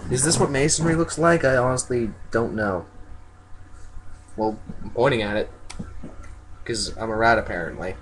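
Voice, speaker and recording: A man's voice: medium pitch, moderate pace, long pauses, background noise. A man doing an amateur dramatic story reading in a goofy, theatrical manner. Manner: goofy, theatrical, breaks off into joking asides. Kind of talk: dramatic fan fiction reading.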